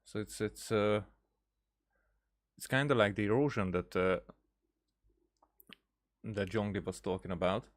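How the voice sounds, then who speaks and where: Male gameplay commentator, somewhat low voice, casual and halting, working out thoughts aloud. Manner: hesitant, halting, thinking aloud. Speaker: game streamer.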